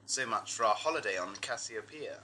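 A man's voice: fairly low pitch, animated, brisk, pushed and bright, slight background noise. A man playing a reasonable but uneasy young space traveller in a science-fiction audio drama. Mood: reasoning. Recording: amateur 1980s drama tape with music.

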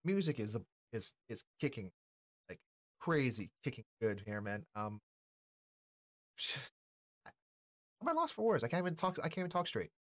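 A man in his forties giving casual, slangy reaction commentary in a halting, enthusiastic way as a video host. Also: mid-range voice, very animated. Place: small indoor streaming room. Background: pop music.

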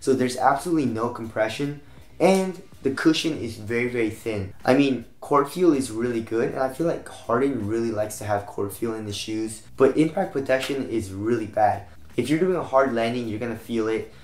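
A young American man with a slightly raspy voice, getting over a cold, giving a casual review to camera as a channel host. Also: mid-range voice, moderate pace. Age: young adult. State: recovering from a cold. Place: small home room, indoors, at a desk. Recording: roomy echo.

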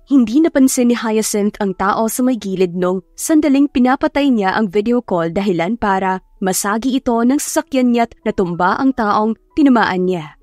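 Casting: female audiobook narrator, slightly high voice, scripted storytelling, music bed. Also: darkish tone, dry recording. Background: background music.

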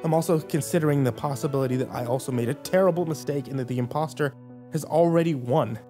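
A man narrating a first-person horror story, hushed and tense, with occasional acted lines. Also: on the high side, fast, faint music behind.

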